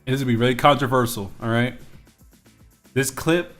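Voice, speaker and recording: A man's voice: mid-range voice, normal speed, a bit bright, soft background music. A man in his twenties, a streamer, chatting casually and rambling with lots of fillers and restarts while he draws on a live stream. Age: 20s.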